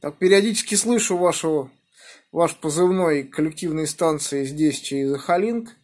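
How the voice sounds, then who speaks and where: A middle-aged man from the Moscow region talking as an amateur radio operator in a measured, deliberate, encouraging manner. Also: on the high side, darkish tone, husky. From Moscow region, Russia. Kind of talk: ham radio contact, spelling out callsigns phonetically. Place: indoors at a home radio desk by a window. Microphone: phone filming the radio.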